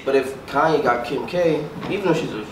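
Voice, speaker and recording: A man's voice: medium pitch, fast, crowd noise. A man from Brooklyn, New York, in a casual group discussion, pressing his point emphatically. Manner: argumentative, emphatic. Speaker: panelist, pharmacist. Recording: echoey room, distant mic, noise.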